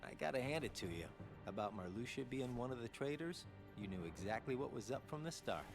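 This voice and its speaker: A man's voice: mid-range voice, lively intonation, a little fast, lightly acted. A man playing a wry, laid-back young man who teases his friends casually in a video game. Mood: wry, exasperated. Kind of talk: English video game dub acting. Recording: game music playing behind.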